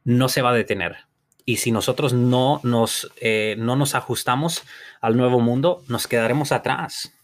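A man's voice: mid-range voice, normal speed. Male podcast host, middle voice, earnest and encouraging, restates lines as he goes. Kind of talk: motivational talk.

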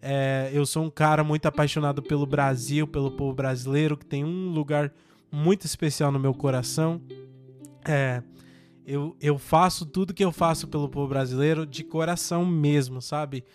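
A man's voice: a little high, fairly even intonation, normal speed, faint music behind. Man, earnest Portuguese learner. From United States. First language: English. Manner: earnest and motivational, with frequent fillers. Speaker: podcast host and language teacher. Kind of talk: casual solo podcast monologue.

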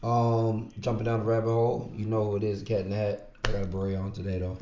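A man co-hosting a casual podcast, hoarse from losing his voice, talking in a laid-back, slangy way and repeating phrases. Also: fairly low pitch, monotone, quick pace, dark tone. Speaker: podcast co-host. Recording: roomy, dull lo-fi sound.

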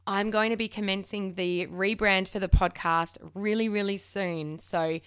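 A woman's voice: medium pitch, fairly even intonation, measured pace, clean tone, no echo, clean studio sound. A woman in her thirties hosting her own podcast, talking frankly and openly with casual swearing and frequent restarts, while she has a slight cold. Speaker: podcast host. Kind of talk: candid solo podcast chat.

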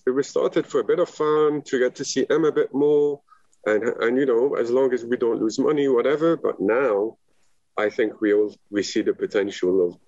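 A man's voice: fairly low pitch, darkish tone, dry recording, muffled recording. A man from Lebanon, a guest talking with enthusiasm in a casual podcast chat, rambling with many fillers. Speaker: guest, business founder.

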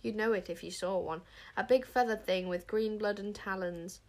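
A woman's voice: mid-range voice, fairly even intonation, normal speed, a little mellow, lightly acted. Woman acting an imperious, icy warrior queen. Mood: scornful. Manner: commanding, withering, snapping.

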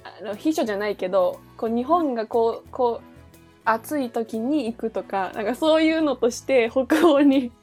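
A woman with a lightly high-pitched voice co-hosts a casual podcast. She talks like she is with a friend, slangy and animated in her reactions. Soft music plays behind her. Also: normal speed. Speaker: podcast co-host. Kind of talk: casual chat between friends. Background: background music.

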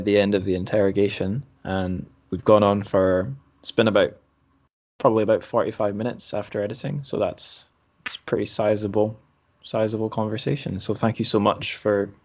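Male podcast host, low pitch, dry close sound, conversational and reading delivery. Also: steady tone, normal speed, no room sound.

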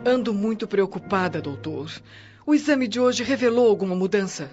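A woman's voice: mid-range voice, moderate pace, natural voice. A woman playing an anxious, pleading wife in a radio drama, with a Brazilian accent and an emotional, fretful delivery. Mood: worried. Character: anxious wife pleading for her sick husband. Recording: dull lo-fi radio drama over music.

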